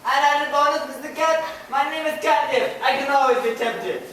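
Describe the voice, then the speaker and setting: A sly mercenary cat, played by a man, talks very high in halting, theatrical phrases. The voice comes from a distance in a strongly echoing hall. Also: bright, forceful tone, a little hiss or hum. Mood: sly, tempted. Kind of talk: pantomime stage acting.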